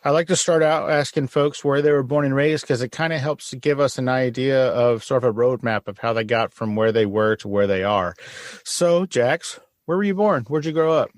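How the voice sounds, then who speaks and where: A man's voice: medium pitch, normal speed, dry recording. Podcast host, man, jokey and easygoing, dry close mic. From San Antonio, Texas. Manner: jokey, laid-back, self-deprecating. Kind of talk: casual podcast interview.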